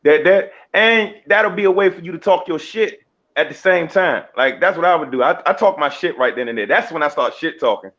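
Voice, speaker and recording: A man's voice: on the high side, expressive, brisk, a bit bright, muffled recording. A man who is a comedy guest, bantering casually and bawdily in a cocky, slangy, repetitive way. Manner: cocky, slangy, repeats himself for emphasis.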